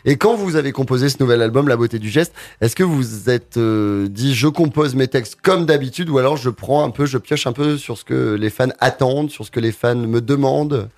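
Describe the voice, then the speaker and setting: A man's voice: mid-range voice, normal speed, hardly any pauses, a little mellow. Chatty man hosting a radio interview. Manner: chatty, with fillers, rephrasing questions. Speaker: radio host.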